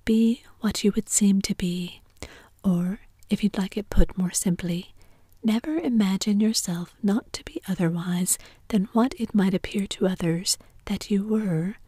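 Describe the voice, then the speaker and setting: Woman whispering a soft, breathy, moralizing noblewoman, endlessly agreeable. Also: mid-range voice, no room sound.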